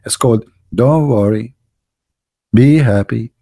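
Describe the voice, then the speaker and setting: Middle-aged guy w a gravelly voice, sarcastic rambling commentary, broken non-native english. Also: medium pitch, slow pace, warm tone. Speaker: online show host.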